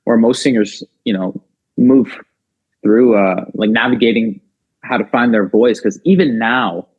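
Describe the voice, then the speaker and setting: A man's voice: lowish voice, unhurried, husky. A man who sings in a rock band, talking casually as a podcast guest, chatty with lots of fillers and restarts. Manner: talkative, many fillers and restarts, animated. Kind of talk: casual chat. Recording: dull, lo-fi sound.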